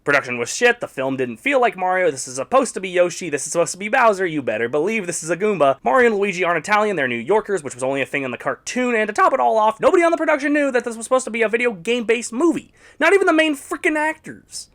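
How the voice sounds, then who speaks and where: A man's voice: sits high, very animated, very fast, few pauses, fairly bright tone. A man narrating a scripted video essay reviewing video game movies, with sarcastic, blunt opinions.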